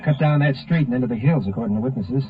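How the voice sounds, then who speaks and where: A man's voice: mid-range voice, quick pace, close to an everyday voice. An actor playing a deferential, businesslike local lawman in a 1950s radio drama. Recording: tinny old 1950s radio recording.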